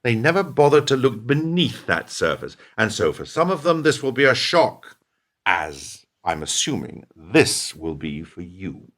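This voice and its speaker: A man's voice: medium pitch, sing-song, unhurried, fairly bright tone. An actor playing a ruthless, self-justifying middle-aged businessman in a radio play, speechifying with smug emphasis. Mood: callous. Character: ruthless, self-justifying businessman betraying his lifelong partner.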